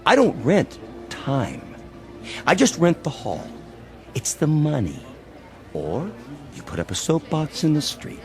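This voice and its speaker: An actor playing a gruff, impatient landlord in a radio drama, blunt, streetwise and pushy. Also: male voice, on the high side, huge pitch swings, takes it slowly, pauses often, soft background music, full character voice. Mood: stubborn, demanding. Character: gruff, impatient hall landlord demanding rent.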